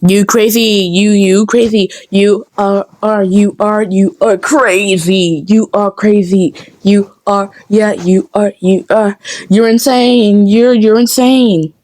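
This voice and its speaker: A woman's voice: mid-range voice, steady tone, slow pace, darkish tone. A woman chatting casually behind the scenes as a dub creator, rambling and talking to herself. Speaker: creator dubbing a comic.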